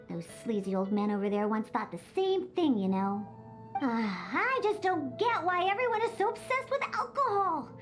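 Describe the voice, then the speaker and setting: A woman's voice: high voice, sing-song, measured pace. A woman voicing a grumpy young catgirl bartender in a video game, huffy, haughty and sulky. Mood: haughty, skeptical. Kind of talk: animated game voice acting. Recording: music behind the voice.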